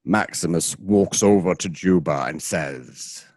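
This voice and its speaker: A man's voice: deep voice, slow, darkish tone, dry recording. A man narrating a radio play from a script in an informal, dramatic way, with casual asides.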